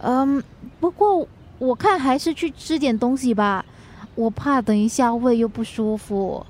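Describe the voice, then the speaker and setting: An actress playing a troubled, easily wounded wife in a radio drama, emotional and pleading, with a Singaporean accent. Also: female voice, fairly high pitch, unhurried, darkish tone. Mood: troubled, subdued.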